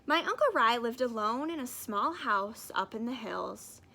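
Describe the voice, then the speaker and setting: A woman reading a children's picture book aloud as an expressive storyteller. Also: fairly high pitch, unhurried, gravelly. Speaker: storybook reader.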